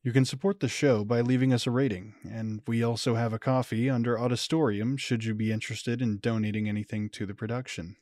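A man's voice: mid-range voice, fairly even intonation, fairly quick, few pauses, darkish tone, dry recording, studio quality. A man reading scripted podcast credits as an announcer. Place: close, dry recording.

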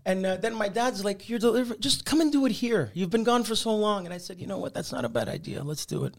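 High-voiced animated comedian telling stories. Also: male voice, fast talker, slight background noise. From Canada. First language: Italian. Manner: animated anecdotes with laughs and restarts. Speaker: podcast guest, comedian. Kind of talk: casual storytelling interview.

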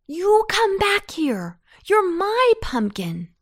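A woman's voice: on the high side, wildly swooping pitch, slow, a bit bright, no room sound, clean studio sound, voice pushed up, heavily acted. A woman voicing an earnest, bossy young boy, an older brother in a children's storybook, exclaiming and scolding.

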